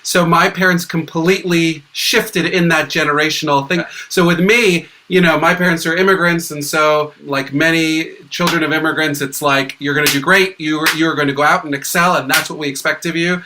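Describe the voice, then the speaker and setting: A man's voice: on the high side, steady tone, moderate pace, few pauses, pushed and bright, rough recording. A man telling anecdotes casually as a guest in a panel conversation.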